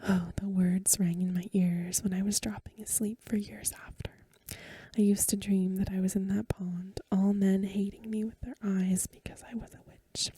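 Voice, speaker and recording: A woman reading a story in a gentle, breathy, whispered ASMR style. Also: medium pitch, flat delivery, normal speed, no echo. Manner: gentle, hushed delivery. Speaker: ASMR reader.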